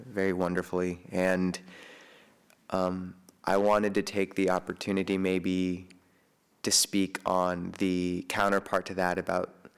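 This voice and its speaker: A man, a Buddhist monk, giving an informal Dharma talk in a soft, hesitant way with many pauses and fillers. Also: low-pitched, clean tone. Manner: chants Pali homage at the start. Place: monastery meditation hall.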